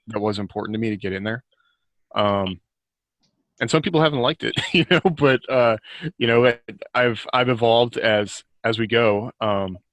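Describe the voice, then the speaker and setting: A man's voice: lowish voice, fairly bright tone, dry recording. A middle-aged man talking casually and reflectively as an author on a podcast, often revising his sentences as he goes. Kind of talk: casual reflective conversation about writing and life.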